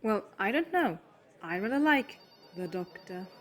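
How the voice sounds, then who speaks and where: Woman playing a keen chatty assistant, normal pitch, apologetic and easily impressed, audio drama. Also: big pitch swings, unhurried. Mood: eager. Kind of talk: fan audio drama acting.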